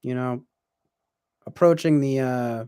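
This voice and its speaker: A man's voice: medium pitch, slow, warm tone, smooth, dry recording, studio quality. A man in his twenties chatting casually on a stream, reflective and rambling, with many fillers and restarts. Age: 20s. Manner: reads viewer comments aloud. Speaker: livestream host.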